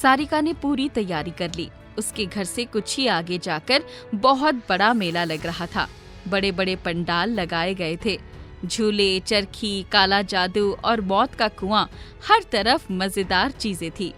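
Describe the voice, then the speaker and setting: A woman's voice: medium pitch, slow pace, fairly bright tone. A woman narrator reading a scripted story for children. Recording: music playing behind the voice.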